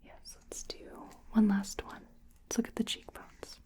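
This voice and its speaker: A woman whispering gently and slowly in an ASMR roleplay, calmly listing facial measurements as she takes them. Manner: slow, gentle, soft-spoken whispering. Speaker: ASMR artist.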